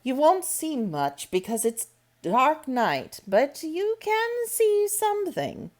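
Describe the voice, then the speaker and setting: A woman reader puts on a bossy, matter-of-fact older housekeeper from a storybook. She speaks up high and emphatically, with an attempted Yorkshire accent. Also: huge pitch swings, slow pace, full character voice.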